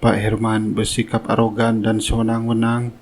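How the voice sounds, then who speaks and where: A man playing a loyal, deferential driver in a cartoon melodrama, speaking formally in a husky voice. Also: on the low side, monotone, moderate pace. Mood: earnest. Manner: formal, respectful. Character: loyal, deferential driver reporting to his boss.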